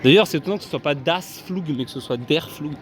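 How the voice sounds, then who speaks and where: A man's voice: on the high side, fast talker, slight background noise. A man casually vlogging from a busy theme park, chatting to his viewers with lots of fillers. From France. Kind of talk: casual vlog commentary.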